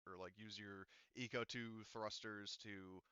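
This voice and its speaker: A man's voice: fairly low pitch, steady tone, measured pace, pushed and bright, clean tone, no room sound, muffled recording. A man running a tabletop game as game master, explaining options casually and thinking aloud with some hesitation. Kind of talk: casual tabletop game narration and rules talk.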